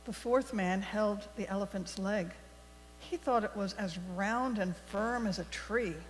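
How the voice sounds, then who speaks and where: A woman reading a story aloud to a congregation in a deliberate, expressive storybook manner. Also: mid-range voice. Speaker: worship leader telling a story for all ages. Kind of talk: read-aloud storytelling in a church service. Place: large indoor congregation space. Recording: echoey hall with mains hum.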